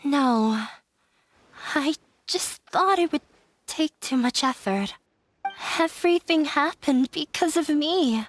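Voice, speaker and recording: A woman's voice: sits high, lively intonation, slow pace, slight background noise. A woman voicing a downcast, self-blaming young runaway in a video game, speaking hesitantly and apologetically. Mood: apologetic.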